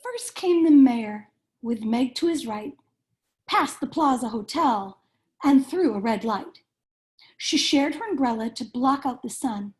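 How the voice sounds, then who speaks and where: A woman reading a children's storybook aloud in a measured, expressive storytelling manner. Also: fairly high pitch. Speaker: cantor reading a bedtime story.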